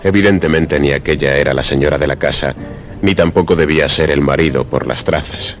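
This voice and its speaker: A man narrating a suspense radio drama in a measured, literary storytelling manner. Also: rumbling low. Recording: prominent music behind the voice. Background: music.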